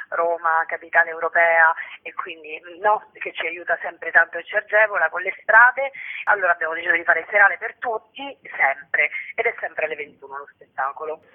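A woman is a guest on a radio interview, heard through a thin, tinny telephone connection. She talks in a chatty, informal way with a joking streak. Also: fairly low pitch. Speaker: theatre festival curator, interview guest. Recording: narrow, tinny phone line.

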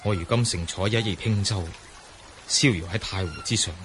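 Man as a low-voiced, lofty scholar-swordsman. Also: moderate pace, fairly bright tone, a little hiss or hum, lightly acted. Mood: musing, lofty. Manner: poetic, declamatory soliloquy. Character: lofty, self-possessed scholar-swordsman on a boat. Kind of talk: Cantonese radio drama acting. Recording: clear water ambience behind voice.

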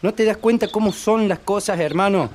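A man's voice: high-pitched, normal speed, a little mellow, a little hiss or hum, close to an everyday voice. Actor as a restless young northern Argentine countryman. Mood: insistent, scornful. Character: restless young country man dreaming of city progress. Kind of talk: radio play acting.

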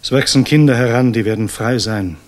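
An actor playing a grave, guilt-ridden idealist of the German resistance in a 1960s radio drama, speaking solemnly and deliberately with weighty pauses and a slightly husky voice. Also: male voice, medium pitch, close to an everyday voice. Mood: solemn, urgent.

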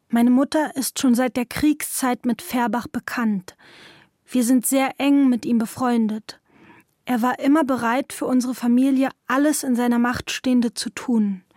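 A woman's voice: high voice, darkish tone, dry recording, clean studio sound. A woman playing an adult daughter who gives a formal, matter-of-fact witness statement in a scripted documentary. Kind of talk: scripted documentary reading of testimony.

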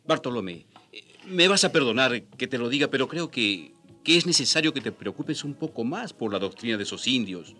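An actor playing an earnest colonial gentleman in a radio drama, coaxing and gently reproving a friend. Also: mid-range voice, sing-song, brisk, faint music behind. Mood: concerned, reproving.

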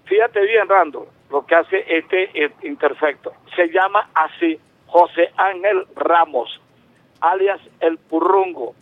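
A man's voice: on the high side, slow pace, no echo. A man in his seventies from Venezuela, calling in to a radio show, talking at length with emphasis and many restarts. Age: 70s. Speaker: caller. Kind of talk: call-in radio talk. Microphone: telephone. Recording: tinny phone line.

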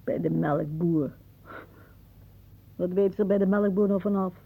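Husky, wry older aunt, thin old recording. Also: female voice, on the low side, steady tone, unhurried, exaggerated voice. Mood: dry, sardonic. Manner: dramatic, musing, wry. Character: older aunt, wry, haunted by death, musing. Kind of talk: radio play acting. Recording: muffled, phone-like old radio recording.

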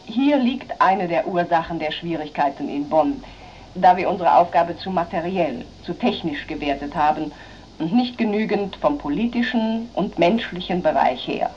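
An actress playing a sober, earnest parliamentary delegate of the late 1940s in a measured speech. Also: female voice, fairly low pitch, lively intonation, a little mellow, dull audio, slight background noise, close to an everyday voice. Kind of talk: acted speech from historical minutes.

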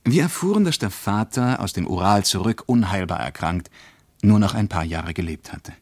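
Deep male narrator, reflective, measured storytelling for a radio play, dry studio sound. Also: animated, a little mellow, no echo. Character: reflective West German man recounting a journey through Russia. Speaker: narrator of a radio travel account.

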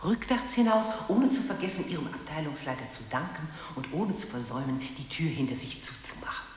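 A woman with a husky voice playing a commanding instructor in a scripted radio play. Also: low voice, sing-song, brisk, slight background noise. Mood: instructing.

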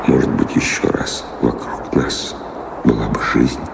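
Very deep, weary wandering man. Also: steady tone, creaky, background noise, full character voice. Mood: wistful, intimate. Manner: musing, deliberate, pausing storyteller. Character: weary wandering man revisiting his old love, wistful philosopher. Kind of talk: theatrical radio drama acting. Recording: echoey hall reverb, music underneath.

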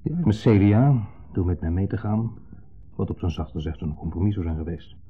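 An actor playing a wistful, brooding, middle-aged ex-husband in a radio play, speaking in wordy, hesitant, formally polite sentences with a pointed undertone. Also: male voice, low voice, normal speed, slightly rough. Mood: measured, wry. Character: wistful, brooding ex-husband, a long-winded dinner guest. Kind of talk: 1970s Dutch radio play acting. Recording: muffled, phone-like 1970s radio recording.

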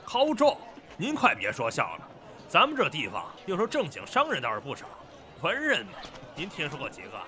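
Man acting a brash, wildly animated fishmonger. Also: fairly high pitch, moderate pace, a little hiss or hum, heavily acted. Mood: sarcastic. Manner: jeering, colloquial, needling. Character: brash, mocking village fishmonger. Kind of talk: Chinese period audio drama acting.

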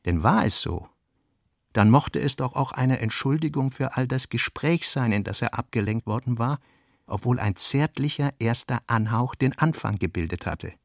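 Measured man narrating, dry studio sound. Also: mid-range voice, lively intonation, creaky, no room sound. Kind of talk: literary scripted narration.

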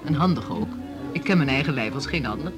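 A woman's voice: extremely low pitch, lively intonation, fast talker, music in the background. An actress in a naturalistic radio drama playing a frank, curious, self-assured independent woman. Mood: frank, teasing.

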